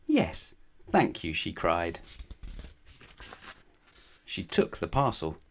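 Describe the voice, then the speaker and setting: A man reading a children's storybook aloud in a measured, expressive way with emphatic phrasing. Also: low-pitched. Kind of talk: children's bedtime story narration.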